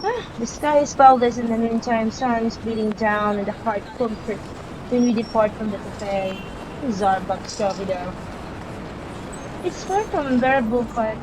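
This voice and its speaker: A woman's voice: a little high, moderate pace, background noise. A woman reading a visual novel's narration aloud as she plays, often stumbling over words and rereading them. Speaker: game player reading aloud. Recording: loud game music behind voice. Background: loud game music.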